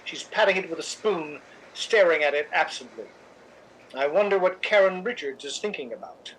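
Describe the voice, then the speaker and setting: A man's voice: a little high, normal speed, fairly bright tone, slight background noise, natural voice, rough recording. A man playing a suave, detached theatre critic who narrates with irony in a 1950s radio drama style. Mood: cool, observant.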